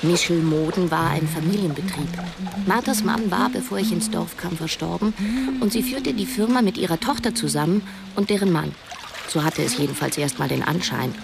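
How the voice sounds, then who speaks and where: An actress playing a youngish novelist who narrates a radio drama in a measured, wry, literary way. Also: female voice, on the low side, gravelly, loud music behind the voice. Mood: reflective, wry. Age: young adult. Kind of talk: scripted first-person radio drama narration.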